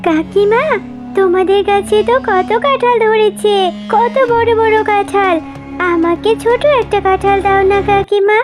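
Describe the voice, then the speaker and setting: A woman's voice: very high voice, moderate pace, loud music behind the voice. A woman voicing an eager little girl fox cub in a cartoon, with a childlike, wheedling way of talking. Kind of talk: cartoon voice acting.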